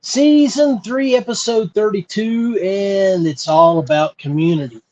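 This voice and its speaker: An American man hosting a podcast, talking informally and earnestly with frequent pauses. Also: high voice, darkish tone, lo-fi sound. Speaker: podcast host. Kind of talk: informal solo podcast talk.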